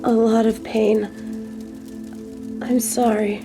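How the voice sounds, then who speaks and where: A woman playing an anxious, gentle female researcher in an audio drama, speaking hesitantly and pleadingly. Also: a little high, flat delivery, slow, music in the background. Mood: hesitant, careful.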